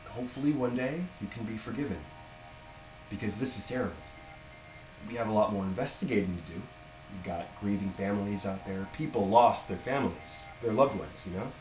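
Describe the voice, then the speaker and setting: Audio drama casting: man as stern police interrogator, lowish voice, measured and admonishing, roomy echo with faint hum. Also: darkish tone, faint music behind, close to an everyday voice. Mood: grave, admonishing. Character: stern police interrogator addressing a murder suspect. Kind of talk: naturalistic audio drama dialogue. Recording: echoey room, faint hum.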